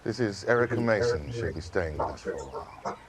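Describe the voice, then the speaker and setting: Low-voiced, gentle authority man, noisy audio. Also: lively intonation, a little fast. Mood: polite, welcoming. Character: older authority man at a girls' residence, soft-spoken, consoling. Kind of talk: naturalistic screen acting in an interactive film game. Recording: game audio under loud noise and clicks.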